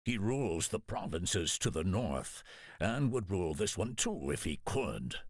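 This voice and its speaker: A man playing a grave, devoted samurai retainer in a video game, with a husky voice and a measured, earnest delivery. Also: low voice, sing-song, no room sound, studio quality, heavily acted. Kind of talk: dramatic video game cutscene acting.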